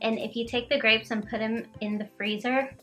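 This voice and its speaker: A woman's voice: fairly high pitch, fairly even intonation, normal speed, a bit bright, faint music behind. A young woman from Georgia, chatting casually to camera in a home kitchen, rambling and giggly. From Georgia, USA. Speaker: lifestyle vlogger. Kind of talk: casual vlog chat to camera.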